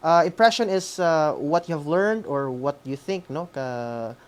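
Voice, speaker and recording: Halting Filipino male radio co-host. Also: fairly high pitch, animated, clean tone. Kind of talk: informal hosting of an online class.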